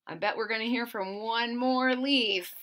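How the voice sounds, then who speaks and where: A woman's voice: a little high, fairly even intonation, measured pace, a bit bright. A woman reading a children's story aloud expressively, with asides and questions to her listeners. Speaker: storybook reader.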